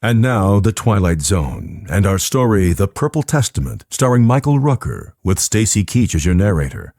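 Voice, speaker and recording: A man's voice: fairly low pitch, sing-song, moderate pace, a little mellow. A man acting as a bold announcer introducing a dramatic radio series. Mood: grand, emphatic.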